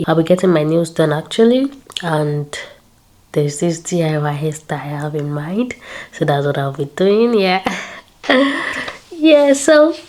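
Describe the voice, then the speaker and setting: A woman's voice: low-pitched, animated, measured pace, darkish tone. A woman in her late twenties vlogging in a casual, upbeat way, repeating words and adding prayerful asides. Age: 20s. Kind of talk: casual faith-filled vlog talk.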